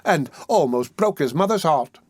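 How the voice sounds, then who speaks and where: A man's voice: fairly high pitch, animated, normal speed, breathy edge, no echo, studio quality. A man reading aloud and voicing a theatrical young woman who wails and pleads. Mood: wailing. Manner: pleading, tearful outbursts.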